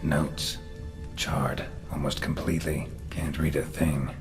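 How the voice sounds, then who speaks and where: An actor playing a gruff, world-weary monster hunter in a fantasy game, with a gravelly voice and clipped, deadpan delivery. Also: male voice, very deep voice, takes it slowly, music in the background. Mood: brooding, observant.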